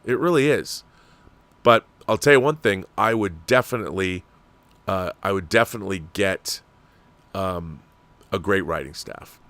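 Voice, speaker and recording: A man's voice: deep voice, normal speed, long pauses, a bit bright, no room sound. A man with an American accent hosting a livestream, talking casually and discursively, giving opinions and addressing viewers. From Washington state, United States. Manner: conversational and discursive, with rhetorical questions. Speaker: livestream host. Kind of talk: casual opinionated commentary, reading and answering viewer messages.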